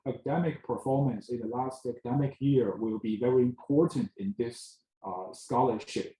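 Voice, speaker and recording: A man giving an admissions info session presentation, halting with frequent filler pauses. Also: mid-range voice, dark tone. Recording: echoey room.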